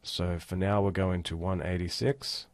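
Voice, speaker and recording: A man giving a casual, step-by-step software walkthrough as an instructor. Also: low-pitched, little pitch movement, normal speed, no room sound. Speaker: tutorial instructor. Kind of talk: screen-recorded software tutorial, casual walkthrough.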